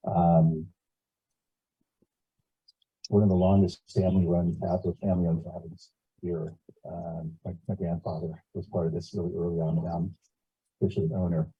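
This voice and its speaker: A man's voice: low voice, mellow, husky. A man casually introducing himself to a group at a meeting, on muffled audio. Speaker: cabin owner introducing himself.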